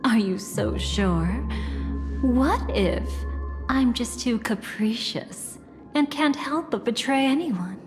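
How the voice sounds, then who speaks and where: A woman's voice: a little high, lively intonation. An actress playing an elegant, mysterious woman in a video game, capricious and playfully menacing, with a teasing, theatrical delivery. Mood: teasing, provoking. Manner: theatrical, teasing, silky and deliberate. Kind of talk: video game character dialogue. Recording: long reverb, music behind.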